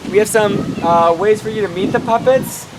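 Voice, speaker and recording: A woman's voice: medium pitch, moderate pace. A woman presenting a children's puppet show outdoors, explaining simply and putting questions to the audience. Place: outdoors at an open-air show. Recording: very windy, noisy outdoor recording.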